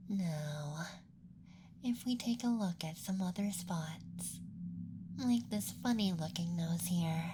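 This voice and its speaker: A man voicing a playful young alien abductor in a whispered ASMR roleplay, speaking softly in a teasing, clinical manner. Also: high voice, slow pace, background noise. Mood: curious, clinical.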